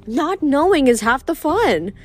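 A woman's voice: sits high, big pitch swings, soft background music, voice pushed up. A woman voicing a vain, gushing goddess of love who drawls and coos dramatically. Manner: breathy, drawn-out, dramatic gushing.

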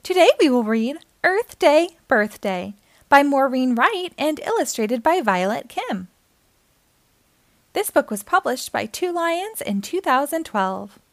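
A woman reading a children's picture book aloud as a storytime host, in an expressive scripted style. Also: sits high, unhurried, no room sound.

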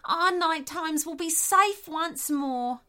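A woman's voice: extremely high pitch, slow pace, bright, forceful tone, voice pushed up, full character voice. A woman voicing a kindly, brave echidna in a children's story, with an earnest, reassuring manner. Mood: grateful.

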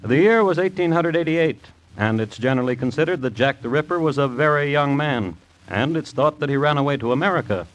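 An actor playing an urbane, dryly macabre crime historian who hosts a 1950s radio series in a formal, wry manner. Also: male voice, a little high, expressive, fairly quick, close to an everyday voice. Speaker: host and narrator of crime series. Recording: faintly noisy vintage radio recording.

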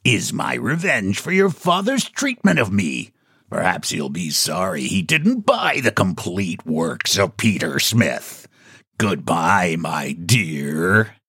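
A man's voice: low voice, wildly swooping pitch, sharp tone, breathy edge, no echo, studio quality, exaggerated voice. Man playing a sly, pompous, swooping storybook book agent. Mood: slyly courteous. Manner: mock-polite, mysterious, brisk, pompous. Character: short fat shabby book agent, sly and courteous. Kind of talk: storybook character voice.

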